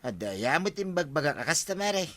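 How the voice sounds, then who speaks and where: An actor playing a timid, plaintive henpecked husband in an Ilocano radio comedy drama, with an Ilocano accent. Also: male voice, on the high side, very animated, a little fast, a bit bright. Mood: complaining. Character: put-upon henpecked husband, timid and complaining.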